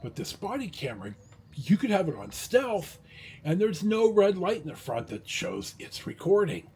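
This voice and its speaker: Guy vlogging off the cuff, kinda raspy, pitch jumps around a lot, rambles and stumbles, blunt. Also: very animated, a little mellow. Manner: rambling, halting, blunt and mildly profane. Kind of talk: casual rambling vlog talk.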